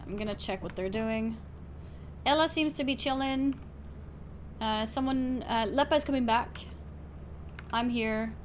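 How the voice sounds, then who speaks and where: A young adult woman chatting casually while streaming a game. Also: on the high side. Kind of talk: casual gaming chat. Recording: clear background noise, keyboard typing.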